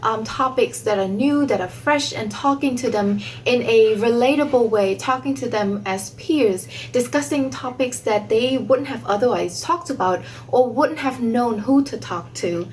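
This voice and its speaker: Young woman, slightly high pitch, prepared presenter delivery, faintly noisy recording. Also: unhurried, few pauses, a little mellow. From Cambodia. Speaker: online video creator on sex education. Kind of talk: prepared self-introduction talk.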